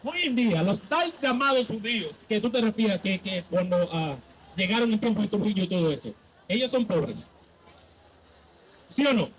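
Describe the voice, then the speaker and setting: A man's voice: high voice, lively intonation, moderate pace, rough recording. A man leading a street Bible debate as a preacher, insistently quizzing and cutting in with questions.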